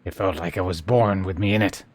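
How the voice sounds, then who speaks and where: A man playing a solemn, reverent sculptor in a fantasy video game, speaking huskily and in a measured way. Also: deep voice, fairly even intonation. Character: reverent, secretive sculptor devoted to a crystal goddess.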